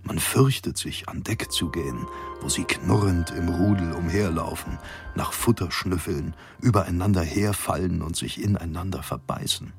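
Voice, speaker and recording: An actor playing a vain, unflappable polar expedition captain who reads his journal in a measured, formal way. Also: low voice, gravelly, faint music behind. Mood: composed, stately. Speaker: actor in a radio play. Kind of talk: dramatised journal narration.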